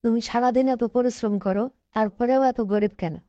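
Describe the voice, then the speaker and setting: A woman reads as a rich, scornful village man in a cartoon tale, blunt and condescending. The tone stays soft and dark, recorded very dry and a little dull. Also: on the high side, moderate pace, no room sound, natural voice.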